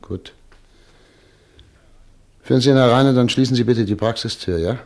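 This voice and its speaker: An actor playing a calm, measured doctor in a radio play. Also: male voice, mid-range voice, natural voice.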